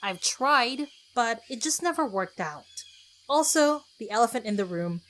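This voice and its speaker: A woman's voice: on the high side, expressive, a little slow, fairly bright tone, rough recording. A woman podcast host narrating a casual scripted solo episode in a chatty, self-deprecating way with conversational asides.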